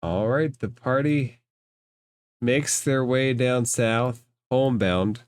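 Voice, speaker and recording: A man narrates a tabletop fantasy game as its game master. His voice is smooth and clear, and he takes his time with formal phrasing. The sound is dry, with little room in it. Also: medium pitch, slow pace, no echo.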